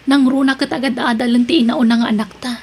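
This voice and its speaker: High-voiced, husky Ilocano wife, worried and practical. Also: female voice, fairly even intonation, fast talker, a little mellow, faint music behind. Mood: concerned. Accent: Ilocano Filipino. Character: practical wife worried about money, married to farm worker. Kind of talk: Ilocano radio drama acting.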